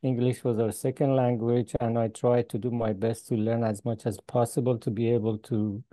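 An older man from Iran with an Iranian accent, a professor answering interview questions over a video call, pausing often with "uh" and chuckling between points. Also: mid-range voice, little pitch movement, mellow, no echo. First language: Farsi. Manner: halting, many "uh" pauses, chuckles between points. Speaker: guest professor. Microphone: video call with earbuds.

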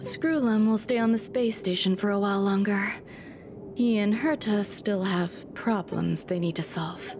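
A woman voicing an aloof, soft-spoken scientist in a video game, speaking in a calm, measured, detached way. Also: medium pitch, slight background noise, lightly acted. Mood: wistful, calm. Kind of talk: scripted video game voice acting. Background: game music.

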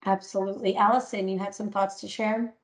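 A woman's voice: medium pitch, little pitch movement, a little fast. Middle-aged woman hosting a panel. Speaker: podcast host and panel lead. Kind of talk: panel discussion on a call.

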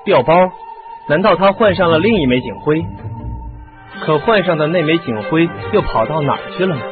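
An actor playing a puzzled deputy police inspector in a radio mystery drama. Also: male voice, a little high, expressive, brisk, natural voice. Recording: muffled lo-fi radio drama, music behind.

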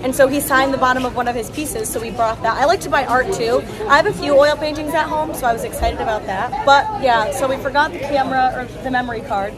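A woman's voice: high voice, fast talker, few pauses, over loud music. A woman in her twenties chatting casually and cheerfully to camera as a travel vlogger, recorded outdoors on a handheld device. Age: 20s. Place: outdoors in a busy tourist village street. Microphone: phone or camera at arm's length. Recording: outdoor phone audio with busy crowd. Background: crowd chatter.

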